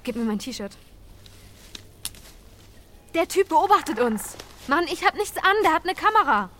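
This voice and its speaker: A woman's voice: high voice, big pitch swings, a little slow, a bit bright, a little hiss or hum, exaggerated voice. An actress playing an indignant, self-conscious young woman traveller in a naturalistic radio drama, terse and reproachful. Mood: alarmed.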